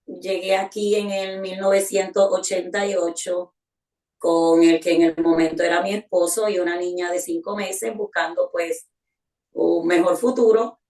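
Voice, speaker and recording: A woman's voice: fairly low pitch, fairly even intonation, moderate pace, darkish tone, far from the mic. A middle-aged woman, telling her story as an interview guest with many small fillers. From Puerto Rico. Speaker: guest, community volunteer. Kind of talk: conversational interview answer. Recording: roomy sound, away from the mic.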